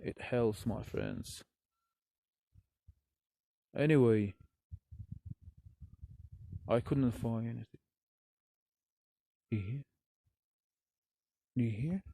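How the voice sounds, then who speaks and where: A young man whose first language is Russian talks to viewers in slow, halting English, sighing and searching for words, alone in a forest at night. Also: mid-range voice, creaky, warm tone, dry recording. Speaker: vlogger.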